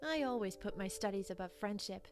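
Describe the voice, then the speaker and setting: A woman playing a driven, aloof young pony student, serious and curt, in an animated audio drama. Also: medium pitch, brisk, soft background music, natural voice. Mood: distracted, curt.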